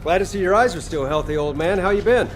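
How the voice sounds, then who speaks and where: A man's voice: high-pitched, fast, slight background noise, natural voice. An actor playing a cocky, exasperated young male soldier in a video game, brash and teasing. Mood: casual, teasing.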